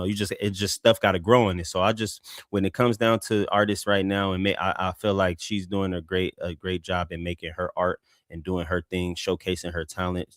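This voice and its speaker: A man with a deep, somewhat monotone voice, casually reviewing a song on a live show with lots of fillers and restarts. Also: no echo, studio quality. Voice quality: deep voice, flat delivery. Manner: rambling, many restarts and fillers. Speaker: co-host music reviewer.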